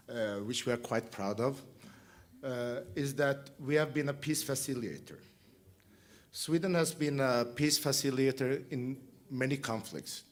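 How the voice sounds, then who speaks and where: Armenian-native guy in his 30s, panel speech in a conference hall, earnest and plain. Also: medium pitch. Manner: earnest, plain-spoken, lightly humorous. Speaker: panelist, member of parliament.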